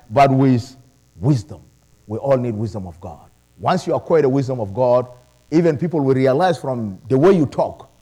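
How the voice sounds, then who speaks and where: A middle-aged man who learned English later, preaching a sermon with animated calls of praise. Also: medium pitch. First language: not English. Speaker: pastor.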